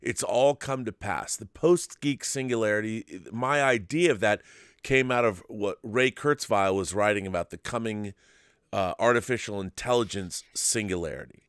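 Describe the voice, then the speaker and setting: A man's voice: lowish voice, normal speed, clear, resonant tone, no echo, studio quality. A middle-aged man hosting a talk show, giving his opinions emphatically in casual discussion and often repeating words as he gets going.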